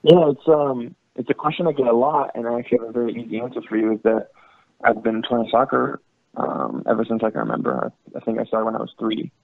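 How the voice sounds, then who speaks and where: A man's voice: medium pitch, expressive, fast talker, creaky, no echo, phone-quality sound. A young man from France and California who plays professional soccer as a goalkeeper, answering interview questions in a thoughtful, easygoing, casual way. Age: young adult.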